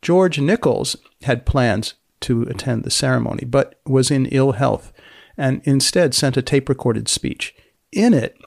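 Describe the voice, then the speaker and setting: A man hosting a podcast, reading scripted narration in a measured, deliberate, dryly deadpan way. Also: mid-range voice, vocal fry, no echo, clean studio sound. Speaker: podcast host.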